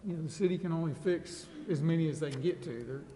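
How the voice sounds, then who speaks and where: Higher-pitched American man in an echoey hall. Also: fast talker, dark tone. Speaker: city council member. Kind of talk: explaining at a public council meeting. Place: large indoor council chamber. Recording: echoey hall, background noise.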